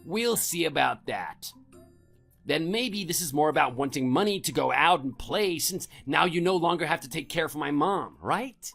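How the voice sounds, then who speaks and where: A man's voice: fairly high pitch, expressive, moderate pace, vocal fry, fairly bright tone, soft background music, exaggerated voice. An actor playing a domineering, contemptuous middle-aged husband scolding his wife in a scripted audio drama. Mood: belittling.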